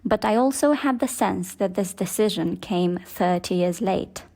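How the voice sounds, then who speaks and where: Young woman from Moldova, native Romanian speaker, mid-pitched, delivering scripted first-person essay narration. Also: unhurried, vocal fry, darkish tone. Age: young adult. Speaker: journalist and author, essayist.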